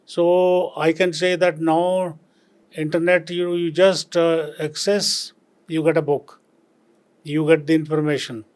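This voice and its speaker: An older man from Punjab with an Indian accent, a retired civil servant answering interview questions slowly and reflectively, with many pauses and fillers. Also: a little high, level tone, darkish tone.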